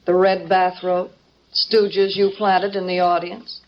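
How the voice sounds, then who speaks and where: An actress playing a scheming, two-faced wife in an old-time radio melodrama, in short dramatic phrases. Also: female voice, on the low side, level tone, slow pace. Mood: cold, conspiratorial. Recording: dull, noisy vintage radio recording.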